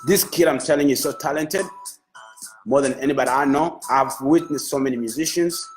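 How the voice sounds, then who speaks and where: A man's voice: a little high, fairly quick. Man praising an artist, faint music behind. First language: Swahili. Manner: enthusiastic, repetitive praise with restarts. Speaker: music manager. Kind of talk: Facebook live talk. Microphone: phone.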